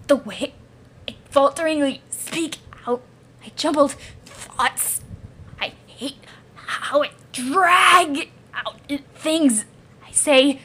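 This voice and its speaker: A woman's voice: very high voice, sharp tone, pitched above the performer's own voice, full character voice. A woman voicing a fused mutant creature that hates itself, stuttering and dragging out its words between furious outbursts. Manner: halting, drawn-out words with outbursts.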